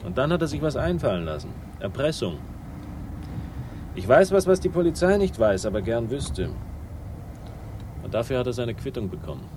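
An actor playing a wry, world-weary private investigator in a radio crime drama, speaking in clipped, ironic, teasing phrases. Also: male voice, mid-range voice, big pitch swings, moderate pace, pauses often, background noise, natural voice. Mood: musing. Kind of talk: 1960s German radio crime drama, dialogue and narration.

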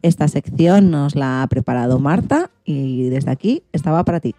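A woman's voice: low-pitched, lively intonation, moderate pace, mellow, dry recording, soft background music. A woman with a Spain Spanish accent, presenting a scripted magazine radio show as its host.

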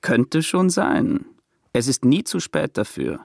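A man's voice: fairly high pitch, wildly swooping pitch, takes it slowly, creaky, a little mellow, dry recording, clean studio sound, exaggerated voice. An actor in a radio play playing an ominous male companion who needles and warns in an insinuating way. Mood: musing.

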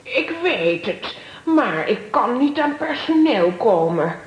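A woman acting excitable, nervous ladies in a children's radio play, with animated, exclamatory delivery. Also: a little high, slow, slightly rough, muffled recording, exaggerated voice.

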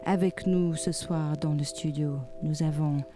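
Woman radio host over loud music. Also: sits low, steady tone, measured pace. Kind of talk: scripted introduction.

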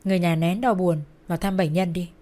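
A woman's voice: on the low side, steady tone, quick pace, mellow, lightly acted. A woman voicing a grave hospital doctor in a story, firm and matter-of-fact. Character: grave, resigned hospital doctor delivering bad news.

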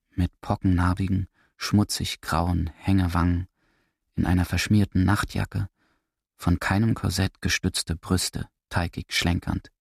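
A man's voice: low-pitched, vocal fry, husky, no room sound, clean studio sound. A man narrating a radio drama in a literary, dramatic style.

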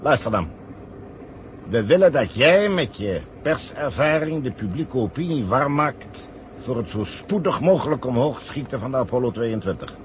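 Male actor as commanding conspirator, medium-pitched voice, sly, smug, calm, noisy old radio drama track. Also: normal speed, natural voice. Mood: commanding, smooth. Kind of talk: 1970 radio play acting.

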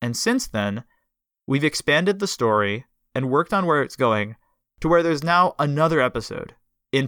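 Young guy hosting a podcast, chatty and rambly, bright crisp voice, dry sound. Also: medium pitch, no room sound. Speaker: podcast host. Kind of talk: casual podcast chat.

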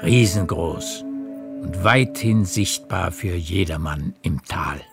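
An actor narrating a literary radio play as a calm storyteller, in a measured, precise way with a gravelly voice. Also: male voice, low-pitched, over music, exaggerated voice.

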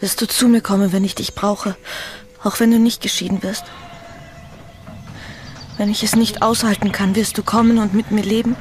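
An actress playing a pleading upper-class young wife in an intimate radio drama, urgent and breathy, often dropping to a whisper. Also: female voice, mid-range voice, little pitch movement, normal speed, pauses often, close to an everyday voice. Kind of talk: intimate German radio play acting.

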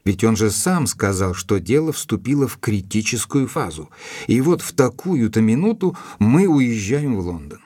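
Casting: loyal gentleman storyteller for detective drama; measured, slightly low voice, close dry studio sound. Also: big pitch swings, breathy edge, no room sound. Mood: reflective. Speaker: narrator. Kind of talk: audiobook drama narration.

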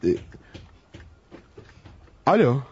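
An actor playing an anxious, smitten male doctor in a melodramatic radio play, fretful and dramatic in delivery. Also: drawn-out words. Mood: shocked, alarmed. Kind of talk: melodramatic radio theatre acting. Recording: dull lo-fi radio drama recording.

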